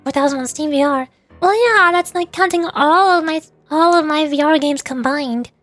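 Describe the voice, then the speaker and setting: A woman's voice: sits high, moderate pace, fairly bright tone, no echo. A woman livestreamer chatting casually over a game in a playful, rambling way. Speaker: livestreamer, VTuber host. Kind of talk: casual chat while streaming a game. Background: faint music.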